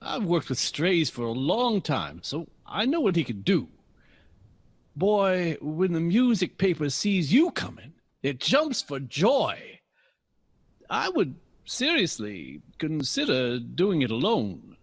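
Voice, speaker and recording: A man playing a suave, domineering older jazz bandleader in a period audio drama, husky and smooth-talking with showy, pompous phrasing. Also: on the high side, wildly swooping pitch, slow, heavily acted. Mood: flattering, persuasive. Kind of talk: period radio drama acting.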